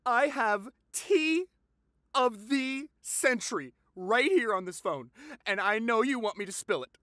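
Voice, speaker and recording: A young man playing a gossipy, affectionate popular boyfriend in an audio roleplay, with a theatrical, teasing manner and drawn-out emphasis. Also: very high voice, animated, pushed and bright, no echo, clean studio sound, heavily acted. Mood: excited gossiping. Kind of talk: dramatic boyfriend audio roleplay, addressed to listener.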